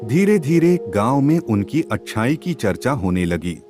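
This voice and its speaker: A man narrating a scripted children's story in a measured, expressive storybook manner. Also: mid-range voice, over music.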